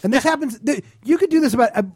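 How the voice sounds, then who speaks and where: High male voice, dry studio sound, blunt, scornful, emphatic, sweary comedic ranting, podcast guest. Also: animated, rapid-fire, darkish tone, no echo. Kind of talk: profane comedic ranting, casual chat.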